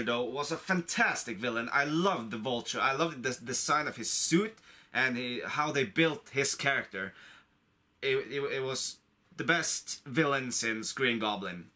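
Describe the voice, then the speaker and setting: A man's voice: medium pitch, sharp tone. A man getting over a cold gives a casual, unscripted movie review to camera, an enthusiastic fan who rambles and repeats himself. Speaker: online video creator reviewing a film.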